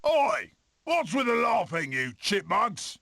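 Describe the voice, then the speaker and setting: A man voicing a cocky, eccentric weasel survivalist for an animated game, with a British accent, a gravelly voice and theatrical, bossy swagger. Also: sits high, slow, slight background noise, exaggerated voice. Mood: brisk, cocky. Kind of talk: animated game character acting.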